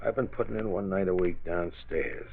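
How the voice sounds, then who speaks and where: A man's voice: low voice, moderate pace, vocal fry, husky, full character voice. An American actor playing a middle-aged, stubborn suburban father in a 1940s radio drama, folksy and a little hesitant. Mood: sheepish. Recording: tinny, band-limited old radio transcription.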